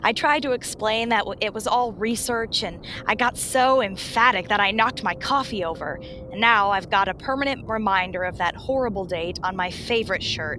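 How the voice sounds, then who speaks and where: A woman's voice: mid-range voice, normal speed, few pauses, background noise, close to an everyday voice. A woman playing a dramatic, exasperated romance novelist in her thirties in a radio play, emphatic and self-deprecating. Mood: exasperated, embarrassed.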